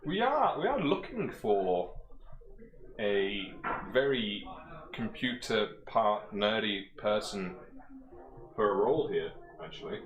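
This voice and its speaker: A man from Birmingham chats on a gaming PC livestream, his pitch bouncing up and down as he jokes and reads out chat questions. He laughs easily. The room around him is echoey. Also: sing-song, slow pace, pauses often, a bit bright, muffled recording. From Birmingham, England. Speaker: co-host of a PC building stream.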